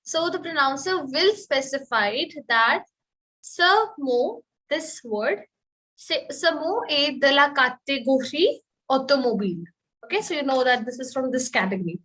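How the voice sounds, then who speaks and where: A woman's voice: sits high, lively intonation, normal speed, fairly bright tone, rough recording. A woman with an Indian accent teaching students online, often checking in with "okay?". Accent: Indian English. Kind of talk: online class explanation. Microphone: video call.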